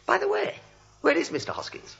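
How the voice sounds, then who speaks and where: A frantic, exasperated man in a 1940s radio comedy. He stammers and hammers his words, swinging widely in pitch. The sound is a dull old recording. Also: huge pitch swings, fairly quick, creaky, a bit bright, heavily acted. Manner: stammering, flustered, emphatic. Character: frantic, exasperated male writer in a mad family.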